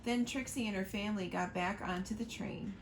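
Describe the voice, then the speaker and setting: A woman reading a picture book aloud to children, slow and expressive, pointing out the pictures as she goes. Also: medium pitch, slight background noise. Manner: slow, expressive storytime reading, asking listeners to look at pictures.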